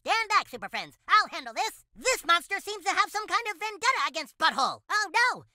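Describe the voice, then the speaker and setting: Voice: extremely high pitch, very animated, fairly quick, bright, forceful tone, no echo, studio quality, heavily acted. An actor voicing a perky, boastful alien boy superhero in a cartoon video game, with grandiose, theatrical heroic declarations. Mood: urgent.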